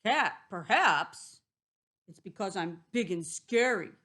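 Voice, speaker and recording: A woman voicing a lonely male storybook wolf who speaks loudly, earnestly and overly politely. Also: medium pitch, lively intonation, slow pace. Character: lonely male wolf trying hard to be good.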